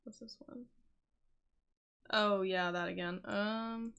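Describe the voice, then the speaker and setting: A woman's voice: mid-range voice, steady tone, slow, gravelly, fairly bright tone, smooth. Young woman casually streaming a game. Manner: casual, rambling, talks to chat, frequent fillers. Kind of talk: casual gaming stream chat.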